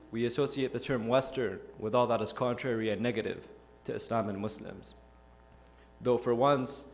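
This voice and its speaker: A young man from Iraq delivers a prepared talk at a middle pitch. He speaks in an earnest, measured way and poses rhetorical questions to his listeners. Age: young adult. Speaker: youth speaker. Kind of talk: prepared speech.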